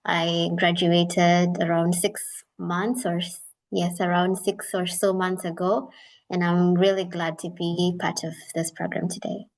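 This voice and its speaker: A woman speaks calmly and thoughtfully. Her voice is on the lower side. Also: measured pace, vocal fry, low-quality recording. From Kenya. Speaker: founder of a women-run craft enterprise. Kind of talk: panel discussion.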